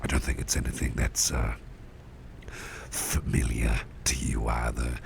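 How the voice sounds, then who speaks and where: A man playing a caring, protective boss in an ASMR roleplay, speaking in a gentle, breathy near-whisper with reassuring, hesitant pauses. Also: flat delivery, full character voice. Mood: calm, casual. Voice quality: breathy near-whisper with heavy low creak. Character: caring, protective male boss tending to his employee. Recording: close recording with clear background noise.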